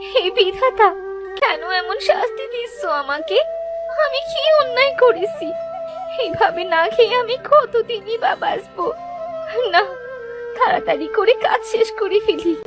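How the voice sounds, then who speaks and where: A woman's voice: extremely high pitch, lively intonation, measured pace, over music. A woman voicing a sorrowful, lonely little orphan girl in a cartoon tale, speaking plaintively. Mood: despairing. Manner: plaintive, self-pitying. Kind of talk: animated moral tale voice acting.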